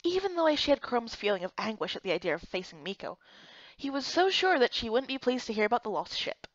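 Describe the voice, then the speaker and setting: A woman voicing an earnest, excitable young heroine, reading a fantasy game story in the first person with expressive, dramatic delivery. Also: fairly high pitch, fast, no echo, dull audio.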